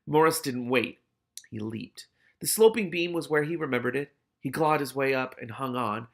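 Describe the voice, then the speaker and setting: A man's voice: medium pitch, lively intonation, moderate pace, vocal fry. A man reading a novel aloud expressively, with casual, candid asides of his own.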